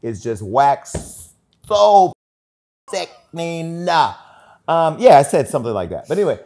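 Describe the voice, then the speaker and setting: A man's voice: on the high side, big pitch swings, takes it slowly, darkish tone. A man in his forties hosting a studio talk show, asking teasing, provocative questions. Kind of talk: gossipy talk-show interview. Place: bright studio set with city view.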